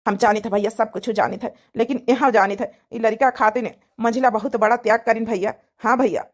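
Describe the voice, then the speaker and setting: Woman as emotional village family man, cartoon drama, raised pitch, Awadhi accent, earnest, insistent. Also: steady tone, brisk, close to an everyday voice.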